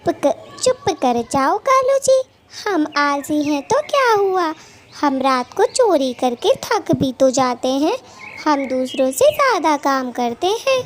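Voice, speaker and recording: Very high, drawn-out cartoon thief bird wife. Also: female voice, very animated, slight background noise, full character voice. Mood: lazy, greedy. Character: greedy, lazy, wheedling female thief bird, a wife. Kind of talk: children's cartoon story acting.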